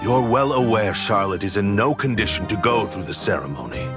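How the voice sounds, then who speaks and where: A man's voice: fairly low pitch, animated, brisk, music in the background, close to an everyday voice. A man playing an aging noble father in a game, grave and formal, stern but caring. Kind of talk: anime-style game voice acting.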